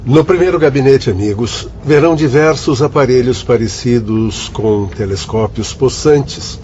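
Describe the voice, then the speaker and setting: A man's voice: mid-range voice, unhurried, darkish tone, a little hiss or hum, lightly acted. An actor playing a dignified father and doctor, speaking in a courteous, instructive way in a radio drama. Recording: dull lo-fi audio with music bed.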